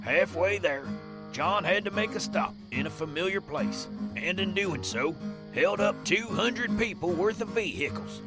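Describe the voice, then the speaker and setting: A man's voice: high voice, animated, measured pace. A man narrating a Western tale in a folksy, dramatic storyteller manner over guitar music.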